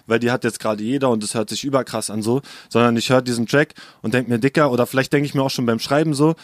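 A man's voice: medium pitch, fairly even intonation, fast, no echo, studio quality. A young man, a rapper and producer, chatting casually and rambling in slang in an interview. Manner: slangy, rambling, says "Digga" a lot.

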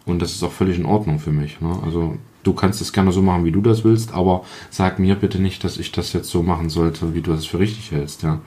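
A man with a low-pitched voice talks in a casual, conversational podcast style. He is reflective and colloquial, often tacking little tag questions onto his sentences. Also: fairly even intonation, fairly quick, few pauses, warm tone. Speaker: podcast guest. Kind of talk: casual chat. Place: kitchen.

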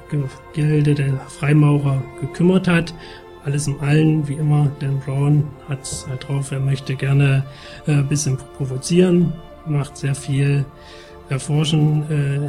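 Man, higher-pitched, soft mellow tone, colloquial reviewer, conversational, light music bed. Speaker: host and book reviewer. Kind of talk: casual magazine show review.

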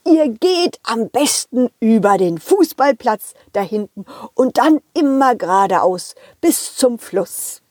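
A woman voicing a calm, kindly male police officer in a children's audio play, reassuring and gently instructive. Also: a little high, takes it slowly, few pauses, dry recording, clean studio sound.

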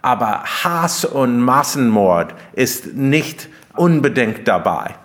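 Man with an American accent, medium pitch. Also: very animated, slow pace, a bit bright. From United States. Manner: non-native German with small grammar slips. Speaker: interview guest, philosopher and author. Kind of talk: explanatory interview answers.

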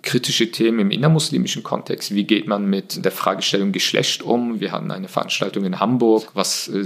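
A man from Germany answering interview questions about his civic work in an earnest, measured way, pausing often with hesitations. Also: lowish voice, gravelly.

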